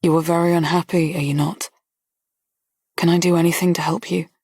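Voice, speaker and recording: A woman voicing a grave army colonel who speaks quietly and with restraint. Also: lowish voice, little pitch movement, a little fast, slightly rough, no echo, studio quality. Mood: gentle.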